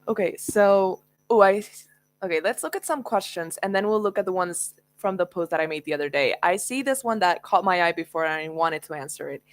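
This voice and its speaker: A woman's voice: lowish voice, fairly quick, no room sound. A young woman whose first language is Spanish, chatting casually and laughing often as she answers viewer questions. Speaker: book youtuber. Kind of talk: casual Q&A livestream chat.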